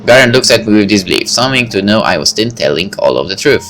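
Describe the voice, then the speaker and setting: A man's voice: fairly low pitch, animated, fast, fairly bright tone. A man reading a visual novel aloud as narrator, with casual asides. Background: background music.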